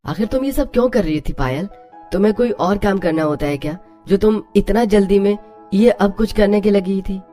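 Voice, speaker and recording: A woman voices a concerned adult relative in a storybook family tale. The voice is medium in pitch, matter-of-fact and reasonable, with music behind. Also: moderate pace, dark tone, natural voice.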